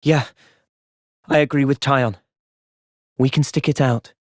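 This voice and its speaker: A man voicing a thoughtful, loyal young hero in a video game, with an earnest, measured delivery. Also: medium pitch, fairly even intonation, no room sound, studio quality, natural voice. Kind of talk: video game cutscene voice acting.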